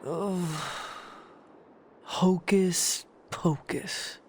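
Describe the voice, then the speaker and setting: Slow, guarded warrior woman. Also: on the low side, steady tone, heavy background noise. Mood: dry, skeptical. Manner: dry, blunt. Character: guarded, skeptical young female warrior. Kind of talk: video game cutscene acting. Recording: music behind the voice.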